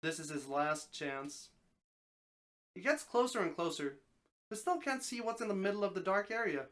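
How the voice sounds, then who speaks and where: A mid-range voice reads a story aloud in a live, dramatic style. The narration is expressive, and the reader now and then trips over a phrase and takes it again. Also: medium pitch, normal speed. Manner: expressive narration, occasional stumbles and repeated phrases. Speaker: streamer reading a story aloud.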